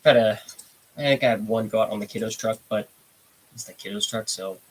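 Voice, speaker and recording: A man's voice: fairly low pitch, fairly quick, a little hiss or hum. A congested man hosting a casual live stream, rambling in a laid-back way and greeting viewers from the chat. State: congested, stuffy nose. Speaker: livestream host.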